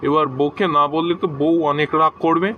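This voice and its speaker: Fairly high male voice, hapless cartoon everyman acted by a man, chatty comic dubbing, faint background noise. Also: fairly even intonation, a little fast, highs cut off, close to an everyday voice. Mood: joking. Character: hapless cartoon husband and joking buddy. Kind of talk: comic cartoon dubbing in short joke skits.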